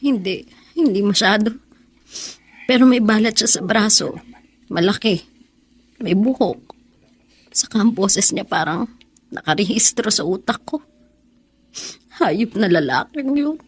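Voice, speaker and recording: A woman's voice: mid-range voice, lively intonation, measured pace, long pauses, slightly rough. A woman playing a grieving, bitter young student in a Filipino radio drama, with an emotional, tearful delivery. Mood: bitter anguish.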